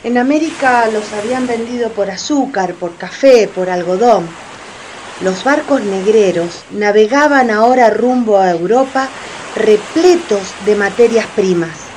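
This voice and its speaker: A woman's voice: medium pitch, measured pace, a little mellow, slight background noise, poor audio. A woman reading scripted narration in a radio play. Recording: crowd hubbub behind the voice.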